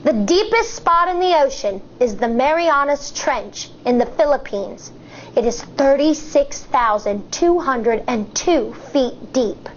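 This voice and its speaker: A woman, an elementary school teacher, reading a nonfiction picture book aloud to young children in a deliberate, explanatory way. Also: high-pitched, expressive, slight background noise. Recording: dull, muffled lo-fi sound.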